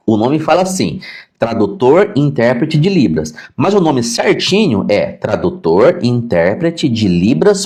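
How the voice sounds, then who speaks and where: Male teacher, Brazilian Portuguese accent, mid-range voice with lively swings, informal and didactic. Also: medium pitch. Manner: didactic, repeats key points for emphasis; conversational, acts out questions to himself. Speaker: sign language teacher. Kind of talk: informal live-stream lesson answering viewer questions.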